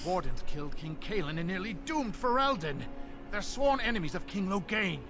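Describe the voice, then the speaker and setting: A man's voice: sits high, lively intonation, fairly quick, music in the background. A man playing a haughty, indignant royal messenger in a fantasy video game, speaking in a pompous, demanding way.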